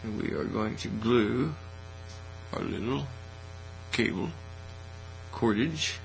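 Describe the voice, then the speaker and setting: A middle-aged man giving a casual, folksy, unhurried how-to demonstration at an indoor hobby bench. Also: medium pitch, vocal fry, rough recording. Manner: folksy, unhurried step-by-step talk. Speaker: hobby craft tutorial host. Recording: faint mains hum and noise.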